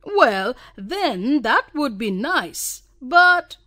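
A woman voicing a loud, mocking man from a village tea circle, scoffing at others. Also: high-pitched, big pitch swings, extremely slow, no room sound, clean studio sound, full character voice.

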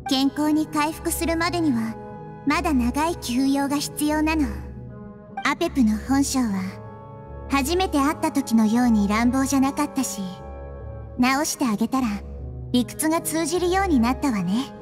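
A woman voicing a young-looking, wise goddess who speaks softly and tenderly, as a game character. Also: sits high, normal speed, close to an everyday voice. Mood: gentle. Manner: soft, tender, reassuring. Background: game music.

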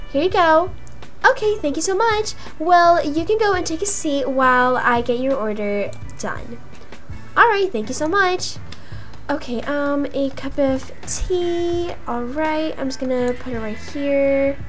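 High-pitched, animated young woman. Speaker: gaming video creator voicing roleplay characters. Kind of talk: improvised Roblox roleplay dialogue. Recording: music behind the voice. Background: music.

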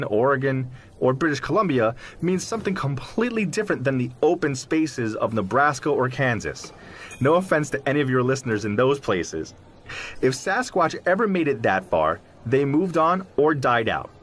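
A man's voice: mid-range voice, very animated, moderate pace, a little hiss or hum, natural voice. A man playing an articulate, self-assured zoologist explaining his field in an audio drama interview, in an emphatic, professorial manner. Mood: earnest, lecturing. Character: articulate, self-assured male zoologist who believes in Sasquatch. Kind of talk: audio drama interview, scripted but conversational.

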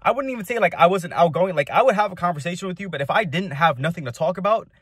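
A young man speaks quickly to his viewers in a slightly high-pitched voice. His delivery is casual and emphatic, full of slang and the odd swear word, like someone sharing a motivational story. The sound is dry and close, with hardly any room echo. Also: lively intonation, a bit bright, husky. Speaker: video host.

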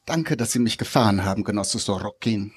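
A man's voice: lowish voice, lively intonation, brisk, breathy edge. A man playing a courteous, slightly hesitant Soviet guest in a live radio play. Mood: polite. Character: polite, slightly unsure male Soviet party guest. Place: outdoor festival stage under a tent.

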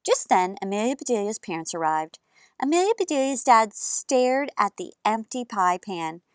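A woman in her thirties hosting a children's storytime, narrating in an expressive, animated way. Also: a little high, normal speed, clear, resonant tone, no echo, clean studio sound. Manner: animated, gesturing.

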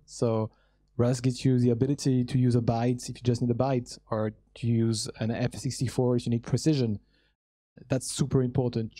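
Young man, panelist, very dark tone, dry close sound, handheld mic, earnest technical talk with fillers. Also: medium pitch, dry recording. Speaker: panelist, startup co-founder. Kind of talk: conference panel answer. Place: large hall with audience.

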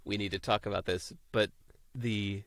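A man's voice: fairly low pitch, fairly even intonation, normal speed. A man in his fifties from the United States, a guest chatting on a video call, thoughtful, searching for words and laughing easily. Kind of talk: casual interview chat. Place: home room, indoors.

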